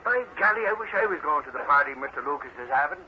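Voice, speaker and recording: Eager, folksy newshound, fairly high male voice, vintage radio serial with heavy hiss. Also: expressive, quick pace, sharp tone. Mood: chatty. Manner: folksy, slangy. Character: brash, eager, slangy male newspaper reporter. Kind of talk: 1940s radio drama acting. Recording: noisy, dull old-time radio recording.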